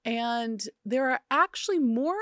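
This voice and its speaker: A woman's voice: fairly high pitch, lively intonation, measured pace, a bit bright, no room sound, studio quality. A woman with an American accent hosting an interview in a casual, conversational style. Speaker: interview host.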